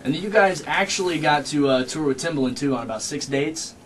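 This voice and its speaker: A radio host from Indiana fires off quick, chatty questions in a brisk, slightly high voice. He sits a little back from the mic, and clear room noise sits under his speech in the studio. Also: recorded from a distance. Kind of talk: casual radio interview.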